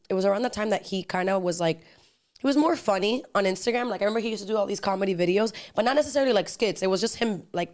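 Fast-talking young woman guest. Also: mid-range voice. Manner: animated, fillers like "like", switches into Spanish phrases. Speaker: guest, singer and actress. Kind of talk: casual interview chat.

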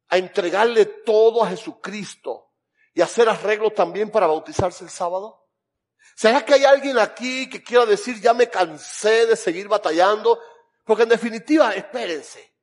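Fervent Cuban preacher with a high voice. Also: male voice. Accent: Cuban Spanish. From Cuba. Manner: impassioned, rising rhetorical questions. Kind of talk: evangelistic sermon with altar call.

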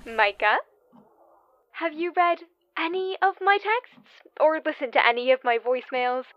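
A woman's voice: high voice, animated, measured pace, background noise. A woman acting as an earnest, exasperated younger sister on a phone call in an audio drama, pleading in manner. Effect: phone call filter. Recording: dull, band-limited phone call sound.

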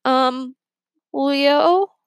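An excitable young boy sidekick acted by a woman reader from a children's storybook. The voice is pitched well up, exclamatory and wisecracking, with a dry, close sound. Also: very slow, no room sound, heavily acted. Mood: nervous. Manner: exclamatory, animated.

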